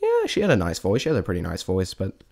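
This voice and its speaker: A man's voice: low voice, wildly swooping pitch, fast, gravelly, darkish tone. A man giving a casual, rambling spoken review, often restarting his sentences and stating blunt, informal opinions. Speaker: vlogger reviewing a stage show.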